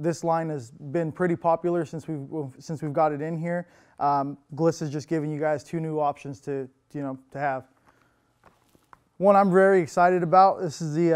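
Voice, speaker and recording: Young guy in a tackle shop running through new gear, smooth clear voice, easygoing, lots of ums. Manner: relaxed, lots of fillers, upbeat pitches. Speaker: tackle shop product host. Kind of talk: casual product rundown. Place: indoor tackle shop with lure wall.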